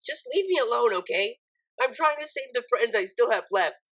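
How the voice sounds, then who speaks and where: Young unicorn mare acted by a woman, storybook, defensive, troubled, pleading, lively intonation. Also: fairly high pitch, normal speed, pitched above the performer's own voice, poor audio. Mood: guarded, upset. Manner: curt, pleading.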